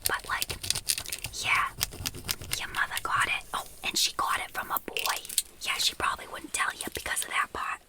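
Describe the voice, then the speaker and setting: A woman whispering close to the mic as an aunt combing out lice, chatty and reassuring, with gossipy asides. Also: low-quality recording. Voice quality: whispered throughout. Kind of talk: whispered ASMR roleplay.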